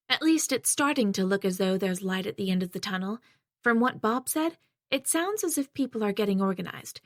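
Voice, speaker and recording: A woman's voice: on the high side, dry recording. Woman narrating in a dry, close read. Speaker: audiobook narrator. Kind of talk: first-person audiobook narration.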